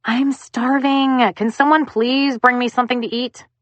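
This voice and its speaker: A woman's voice: on the low side, big pitch swings, moderate pace, no room sound. Blunt, bossy mother-to-be, voiced by a woman reader; dry sound. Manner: bossy and sharp-tongued. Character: heavily pregnant, blunt, bossy young woman.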